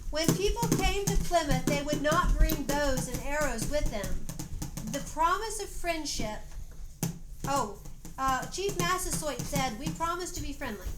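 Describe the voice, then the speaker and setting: A woman's voice: high voice, expressive, a little slow, loud music behind the voice. A woman reading a story aloud as narrator of a homemade family play, prompting others with off-the-cuff directions. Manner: coaching, prompting others to repeat lines. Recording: roomy, noisy amateur home recording.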